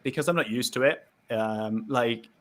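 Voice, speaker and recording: A man's voice: mid-range voice, normal speed, a bit bright. A British man bantering in a casual live-stream chat over a video call, laughing often. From Britain. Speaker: co-host.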